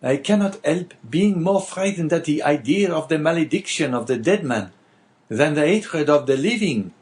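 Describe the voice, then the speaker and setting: Man voicing a bitter, brooding innkeeper for an audiobook, lively pitch. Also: moderate pace, lightly acted. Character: rough, bitter middle-aged innkeeper man. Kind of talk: audiobook character voice.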